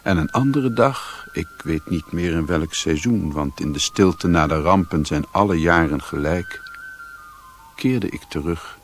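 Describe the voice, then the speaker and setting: A solemn radio-play storyteller with a very low voice. He narrates slowly and deliberately in a literary style, over faint music. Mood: reflective.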